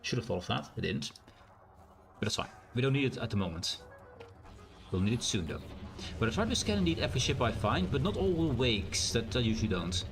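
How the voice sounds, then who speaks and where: A man with a naturally loud voice and a strained, sore throat, thinking aloud in a rambling way as he streams a game. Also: medium pitch, big pitch swings, fairly quick, creaky, over music. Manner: rambling, thinks aloud with fillers and self-corrections. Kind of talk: casual live-stream gameplay commentary.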